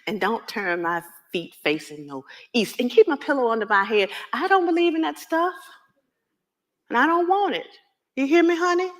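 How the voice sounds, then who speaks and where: A woman's voice: on the low side, a little slow, hardly any pauses, a bit bright. A woman voicing a loving, protective mother who is frail and dying, speaking tenderly and pleadingly to her child.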